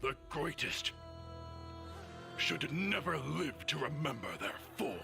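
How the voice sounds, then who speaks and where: An actor playing a grave, menacing fantasy man with a gravelly voice in a game trailer. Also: a little high, very animated, slow pace, heavily acted. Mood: ominous. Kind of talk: dramatic game trailer acting. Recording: distant trailer audio under music.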